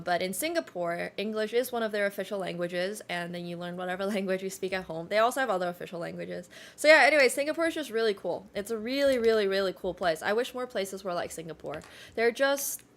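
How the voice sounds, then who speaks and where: Chatty young woman with a gravelly edge. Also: mid-range voice, brisk, a bit bright. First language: Chinese. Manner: chatty, rambling with many fillers and self-corrections. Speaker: streamer. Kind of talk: casual livestream chat.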